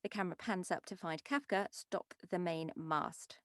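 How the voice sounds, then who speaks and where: A woman's voice: fairly low pitch, normal speed, fairly bright tone, no echo, studio quality. A woman reading stage directions as scripted narration at an animation table read.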